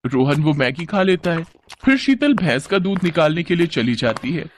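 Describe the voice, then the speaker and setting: Animated, higher-pitched male storyteller. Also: normal speed, a little hiss or hum. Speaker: narrator. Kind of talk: scripted children's story narration.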